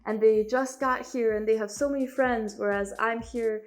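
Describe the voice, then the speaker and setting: A woman's voice: a little high, fairly even intonation, moderate pace, soft background music. A young woman from Italy, a native speaker of Italian and German, reflecting in a documentary interview as an international student. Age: young adult.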